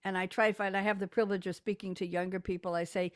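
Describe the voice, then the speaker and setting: A woman's voice: medium pitch, flat delivery, fast talker, no room sound, studio quality. A woman radio and TV host interviewing guests over a video call, talking in an effusive, enthusiastic, chatty way.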